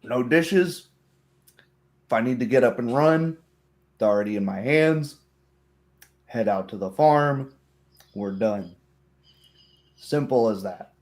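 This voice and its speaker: A man's voice: mid-range voice, lively intonation, slow pace, pauses often, darkish tone. A man playing a blunt, teasing farming villager in a plainspoken, folksy way.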